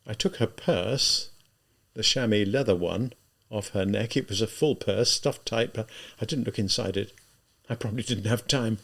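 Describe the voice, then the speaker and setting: A man's voice: on the low side, creaky, fairly bright tone. Tormented, brooding young man confessing , acted by narrator. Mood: quiet, brooding. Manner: halting, emphatic, self-reproaching.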